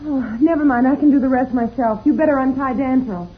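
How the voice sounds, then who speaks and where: An inquisitive, forthright girl, played by a woman in a vintage radio space serial. Her voice is extremely high. The old recording is dull, with clear noise running through it. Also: brisk, lightly acted. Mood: alert, practical.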